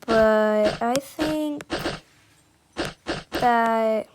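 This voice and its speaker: A young woman improvising a roleplay voiceover in a halting, unscripted way, often talking to herself. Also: on the high side. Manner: unscripted, halting, with repeated self-talk. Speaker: video creator voicing a roleplay. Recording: noisy home recording with clicks.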